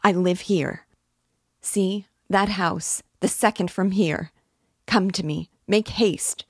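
A woman as a pleading young woman, high-pitched. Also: slow, slightly rough, no room sound, studio quality. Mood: urgent pleading. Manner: crying, imploring. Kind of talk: dramatic audiobook character reading.

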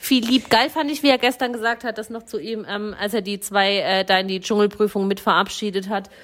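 A woman's voice: medium pitch, steady tone, brisk, a bit bright. A young woman co-hosting a casual chat, playful and chatty, laughing often. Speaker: podcast co-host.

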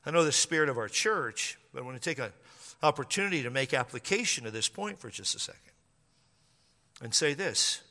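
A man's voice: mid-range voice, lively intonation, brisk, pushed and bright. A man preaching a sermon as pastor of his church, driving points home with emphatic repetition. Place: church sanctuary. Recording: long reverb of a hall.

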